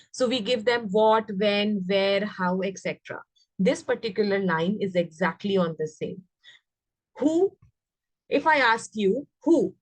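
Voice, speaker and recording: A woman's voice: medium pitch, no echo, rough recording. A woman with an Indian accent teaching an interactive online English lesson to young children, prompting them with repeated questions. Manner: instructive, repetitive questioning, prompting. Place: online video class.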